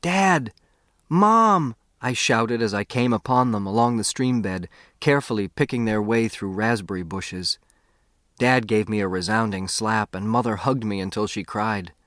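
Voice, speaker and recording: A man's voice: lowish voice, steady tone, normal speed, fairly bright tone, dry recording, studio quality. A man reading a first-person novel aloud as an audiobook narrator.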